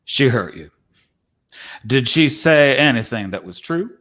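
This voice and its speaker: A man's voice: medium pitch, animated, a little slow, fairly bright tone. A man playing a folksy, tender, caring cowboy-like companion in a dramatic play reading. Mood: concerned.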